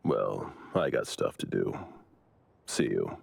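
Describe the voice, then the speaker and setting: Serious tired lieutenant, deep n gravelly, dry formal anime game delivery. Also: male voice, animated, takes it slowly, no echo, exaggerated voice. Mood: dry, exasperated. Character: stern, dutiful male lieutenant, dry and weary.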